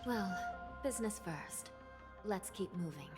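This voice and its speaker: A woman's voice: medium pitch, expressive, measured pace, music in the background, close to an everyday voice. A woman playing a composed, dryly teasing female prison judge in a video game, speaking formally and with measure. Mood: wary, businesslike. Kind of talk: scripted video game voice acting.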